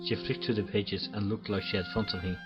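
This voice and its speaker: A man's voice: on the low side, fairly even intonation, quick pace, highs cut off. A man reading visual novel text aloud as a let's play host, often stumbling over words and restarting. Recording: clear game music behind voice. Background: game music.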